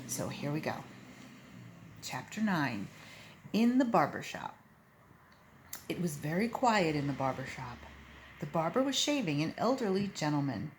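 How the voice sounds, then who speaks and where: Woman, fairly low voice, very lively intonation, expressive children's storybook narration. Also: a little hiss or hum. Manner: animated, emphatic storytelling. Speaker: volunteer story reader.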